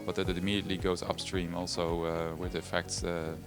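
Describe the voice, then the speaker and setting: A man who played a game, describing what he took from it in a deliberate, hesitant way. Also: deep voice, level tone. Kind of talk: interview. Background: background music.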